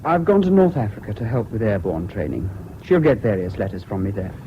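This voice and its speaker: A man's voice: fairly low pitch, sing-song, a little fast. A jaunty young British serviceman, reckless and breezy, with clipped lines that swing up and down. The old radio play recording is muffled and noisy. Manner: breezy, clipped, understated officer-class speech. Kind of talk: 1970s BBC radio drama acting.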